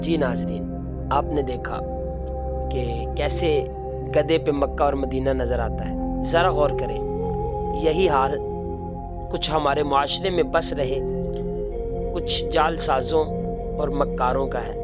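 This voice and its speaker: A man delivers scripted moral narration as a storyteller. He is measured and preachy, with music clearly audible behind him. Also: medium pitch.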